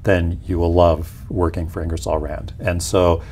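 A man's voice: sits low, level tone, moderate pace, darkish tone, slight background noise. A man in his forties, a company executive explaining things conversationally in an interview. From Peru. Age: 40s. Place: small indoor office lounge. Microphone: lapel mic.

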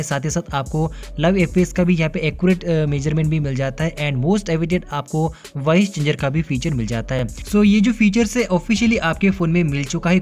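A man's voice: on the high side, darkish tone. A man narrating a hands-on phone feature walkthrough in an upbeat way, mixing English words into his Hindi. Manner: upbeat, repeats filler phrases, mixes English words into Hindi. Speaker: tech reviewer. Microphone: off-camera voice-over over hands-on phone footage.

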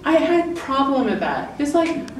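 Middle-aged woman presenter, chatty and laughing, recorded from far off in an echoey lecture room with steady noise. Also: measured pace. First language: English. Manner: chatty, laughing, acting out quoted lines with pitch jumps. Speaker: author and illustrator giving a book talk. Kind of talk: informal presentation. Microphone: far camera in the room. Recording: roomy, distant camera audio with noise.

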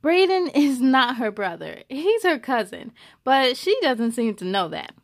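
A young American woman hosting a children's story time, reading aloud expressively with playful, animated delivery and friendly asides. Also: sits high, unhurried, no echo, studio quality. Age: young adult. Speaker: host of a children's read-aloud show.

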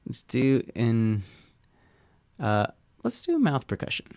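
A man talking through a software tutorial as an audio engineer, in an easygoing, conversational way with informal asides. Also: mid-range voice, wildly swooping pitch, slow pace, gravelly, no room sound, studio quality. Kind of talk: casual software tutorial talk.

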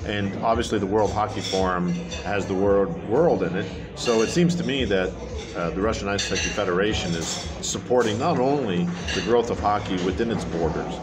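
Male interviewer, deep voice, measured conversational delivery, prominent music underneath. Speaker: interviewer, magazine publisher.

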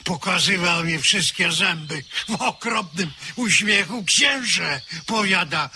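A man's voice: sits high, lively intonation, takes it slowly, pushed and bright, faint music behind, heavily acted. An actor in a radio drama playing a theatrical man who retells a menacing commissar's taunts. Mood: excited, mocking.

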